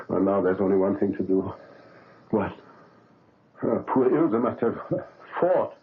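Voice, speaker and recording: An actor playing a guilt-ridden older surgeon who stammers and hesitates in a 1970s radio drama. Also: male voice, on the low side. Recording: narrow phone-like old radio broadcast, faint hiss.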